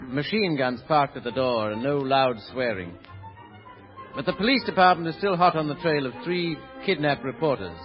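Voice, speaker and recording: A man's voice: fairly high pitch, moderate pace. A man announcing and narrating from a script in 1930s radio style. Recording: muffled old radio recording. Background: faint music.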